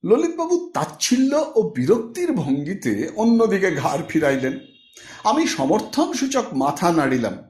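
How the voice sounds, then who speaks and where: Male audiobook narrator, high-pitched voice, animated intonation, deliberate phrasing, literary storytelling, reverberant room.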